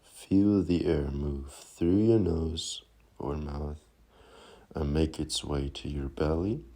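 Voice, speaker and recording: Very deep, slow male meditation guide. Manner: soft, calming, unhurried instruction.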